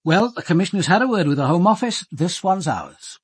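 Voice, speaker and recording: An actor playing a grave, authoritative senior police detective in a British radio drama, speaking in a measured, articulate British accent with dry understatement and a husky voice. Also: male voice, high voice, big pitch swings, no echo, heavily acted. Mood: brisk, dry. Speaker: lead actor and narrator. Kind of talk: radio drama acting and narration.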